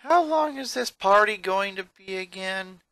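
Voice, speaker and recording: A man's voice: high voice, expressive, unhurried, sharp tone, no room sound, pitched above the performer's own voice, full character voice. A man voicing an eleven-year-old boy, a reluctant, sarcastic and grumbling sidekick.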